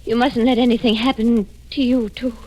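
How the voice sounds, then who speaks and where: A woman's voice: on the high side, level tone, normal speed, music in the background, lightly acted. An actress playing a tender, anxious, trusting young woman in a 1940s radio mystery. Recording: noisy vintage 1940s radio recording.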